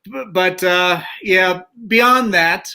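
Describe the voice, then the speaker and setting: Bright-toned joking male host. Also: high voice, very slow, clear, resonant tone. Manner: joking, swears, stumbles over words. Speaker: podcast host. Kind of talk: casual interview chat.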